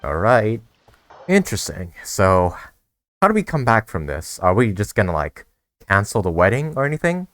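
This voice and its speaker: A man's voice: lowish voice, very animated, normal speed, a bit bright, no room sound, studio quality. A young man giving casual, slangy, bemused commentary as he plays through a video game. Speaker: gamer, video creator.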